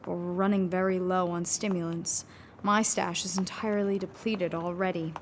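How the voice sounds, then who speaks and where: A woman's voice: on the low side, fairly even intonation, normal speed, slight background noise, natural voice. A woman playing an anxious, weary and insistent woman sculptor in a theatrical, pleading radio horror drama. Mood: weary, desperate.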